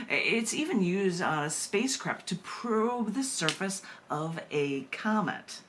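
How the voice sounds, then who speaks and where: A woman poet reading at a live open mic, animated and self-amused, with rambling, chatty asides. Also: lowish voice, a little slow, background noise.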